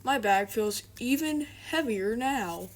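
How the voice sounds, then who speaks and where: A woman's voice: fairly high pitch, lively intonation, slow. A woman voicing a good-natured young male bear from a children's storybook, speaking in a simple, earnest way. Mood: puzzled.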